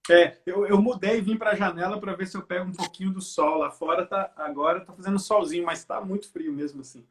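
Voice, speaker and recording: A man's voice: high-pitched, fairly even intonation, a little fast. A man from Belo Horizonte, Brazil, hosting a casual live video chat from home, talking in a loose, chatty way. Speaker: live stream host. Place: at home by a window. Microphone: video call.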